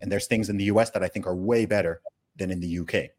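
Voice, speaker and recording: A man's voice: deep voice, steady tone, fast talker, darkish tone. A man born in the UK and raised in Saudi Arabia, hosting a conversational podcast and making emphatic, opinionated points with repeated words and checking tags. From born in the UK, grew up in Saudi Arabia. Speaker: podcast host.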